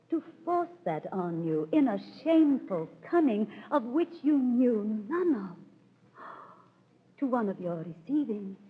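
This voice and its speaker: A woman playing a proud, yearning highborn lady, with stately verse delivery in 1930s radio Shakespeare style. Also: slightly rough. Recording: thin, phone-like 1930s radio recording.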